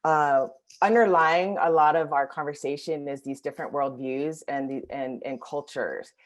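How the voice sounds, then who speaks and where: A woman from Washington, D.C., co-presenting in an online discussion, speaking reflectively and haltingly with many fillers. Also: low-pitched. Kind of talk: webinar discussion.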